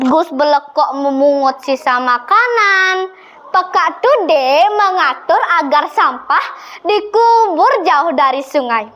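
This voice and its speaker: A young girl telling a children's story in a deliberate, dramatic and expressive way. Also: extremely high pitch. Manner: slow, deliberate, expressive delivery. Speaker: storytelling contest participant.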